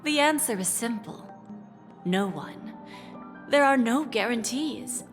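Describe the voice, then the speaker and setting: Cool, shrewd corporate negotiator, music behind. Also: female voice, a little high. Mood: smoothly persuasive. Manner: composed, assured, deliberate phrasing. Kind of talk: dramatic video game voice acting.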